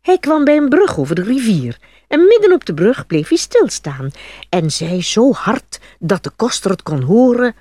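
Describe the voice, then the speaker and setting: A woman reading scripted fairy-tale narration as an expressive storyteller. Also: a little high, moderate pace, dry recording.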